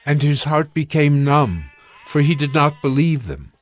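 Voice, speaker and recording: A man narrating scripture in a measured, solemn reading. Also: on the high side, very animated.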